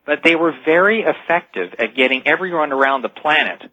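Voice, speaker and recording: Bright male guest, dull radio audio. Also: on the high side, animated, a little fast, dry recording. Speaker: radio show guest commentator. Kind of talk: explanatory radio interview talk.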